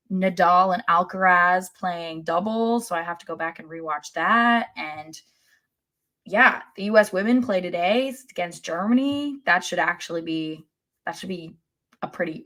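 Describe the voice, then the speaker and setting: A woman's voice: fairly low pitch, a bit bright. An American woman co-hosting a sports podcast in casual chat, opinionated and wry, with emphatic turns.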